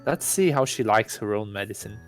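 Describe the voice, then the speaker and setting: A man's voice: on the low side, lively intonation, a little fast, soft background music, natural voice. A man playing a pompous, theatrical god posing as student. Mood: awkward, boastful. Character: smug self-proclaimed god posing as awkward teenage student.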